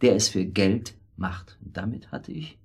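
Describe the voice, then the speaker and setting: Husky, low-voiced weak husband. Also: male voice, lively intonation, unhurried, a little mellow, heavily acted. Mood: defensive, confessing. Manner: hesitant, rambling, self-justifying. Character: weak, confessing middle-aged husband, accomplice to murder. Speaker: radio drama actor. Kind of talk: naturalistic German radio drama acting.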